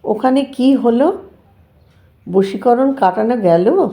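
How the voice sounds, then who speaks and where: Woman acting a slow, high-voiced, stern mother. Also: expressive, dark tone. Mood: disapproving. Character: stern, concerned middle-aged mother and housewife. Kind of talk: audio drama dialogue.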